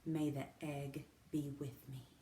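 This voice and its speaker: A woman reading aloud, voicing a scheming little boy with a hushed, theatrical way of talking. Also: medium pitch, normal speed, roomy sound, close to an everyday voice. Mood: dramatic.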